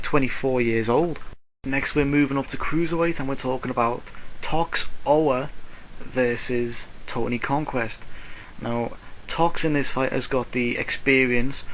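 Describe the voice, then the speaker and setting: A man's voice: medium pitch, fairly bright tone, low-quality recording. British man talking boxing over background noise. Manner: blunt, slangy, opinionated. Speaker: boxing vlogger. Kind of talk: casual solo sports commentary.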